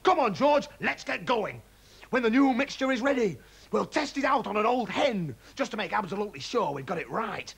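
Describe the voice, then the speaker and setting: A man voicing an excitable, scheming middle-aged farmer father who gushes about his plans. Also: high-pitched, moderate pace, gravelly, husky, pitched above the performer's own voice, heavily acted. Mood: overexcited. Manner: breathless, gushing enthusiasm.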